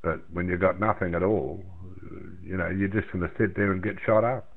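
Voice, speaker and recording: A thin, band-limited radio transmission carries the low voice of an older Australian man. He chats casually and plainly, rambling a little and circling back over his own words. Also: fairly even intonation, a little fast. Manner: rambling, plain-spoken, repeats himself. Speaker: amateur radio operator in a round-table chat. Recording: narrow, tinny radio transmission.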